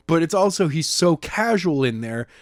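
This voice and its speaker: A man bantering casually as a podcast co-host, with a playful, expressive and exclamatory way of talking. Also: a little high, moderate pace, no room sound, studio quality. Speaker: podcast co-host and chapter reader.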